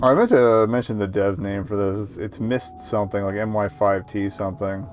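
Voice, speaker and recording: A man's voice: on the low side, moderate pace, noisy background. A man hosting a gaming video, giving casual playthrough commentary in a dry, wry manner. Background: game music.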